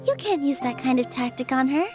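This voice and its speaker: A woman voicing a kind, gentle young dancer who speaks politely and reassuringly, in video game dialogue. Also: high-pitched, animated, brisk. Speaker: theater dancer. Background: game music.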